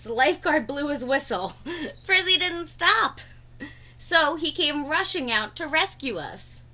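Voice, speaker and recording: A woman reading a storybook aloud to children in an expressive, dramatic way, stretching words for emphasis. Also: sits high.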